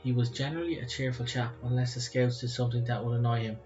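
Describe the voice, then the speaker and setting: Man, Irish accent, read-aloud storytelling, clear background music, slightly dull recording. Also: medium pitch, steady tone, fast talker, a little mellow. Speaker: podcast co-host reading a ghost story.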